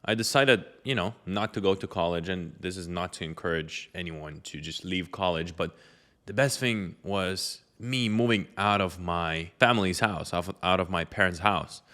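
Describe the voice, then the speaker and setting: Young man, low voice. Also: fairly bright tone. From Lithuania. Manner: conversational, loose with many fillers. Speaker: entrepreneur giving advice. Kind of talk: casual talk to camera.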